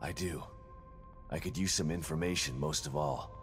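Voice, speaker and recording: A man playing a gruff, weary, determined ex-detective in a survival horror game, speaking tersely and sardonically. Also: rumbling low, moderate pace, soft background music. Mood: wary.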